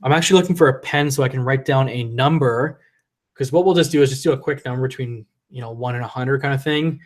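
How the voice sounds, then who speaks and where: A man's voice: medium pitch, quick pace. A man hosting a casual live stream from home, rambling loosely and swearing as he reads chat, a little tipsy from drinking, into a desk condenser mic. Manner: rambling, loose and profane, reading chat aloud. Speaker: livestream host. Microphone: desk USB condenser mic.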